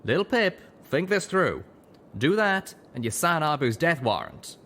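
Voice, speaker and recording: A man's voice: fairly high pitch, sing-song, measured pace, a bit bright, a little hiss or hum, pitched above the performer's own voice, exaggerated voice. A man voicing a plain-spoken, loyal cowboy pegasus stallion in an audio drama. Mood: cautioning.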